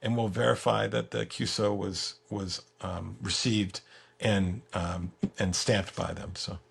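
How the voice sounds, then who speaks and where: Gravelly low-voiced man explaining software. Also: fairly even intonation. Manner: halting, stop-start explaining with repeated words. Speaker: software creator giving a product demo as guest. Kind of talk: casual screen-share walkthrough.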